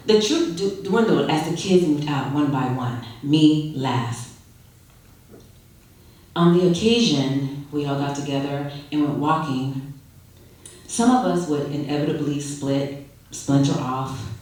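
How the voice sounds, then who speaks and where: A woman giving an expressive live reading of a literary story, with dramatic phrasing and deliberate pauses. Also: low-pitched. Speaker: story reader, actress. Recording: very echoey hall, distant microphone.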